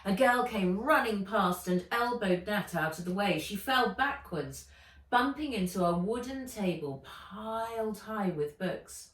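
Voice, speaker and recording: A woman narrating a children's adventure book aloud in an expressive, dramatic and deliberate way. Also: medium pitch. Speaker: author reading own book aloud. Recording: echoey room, distant microphone.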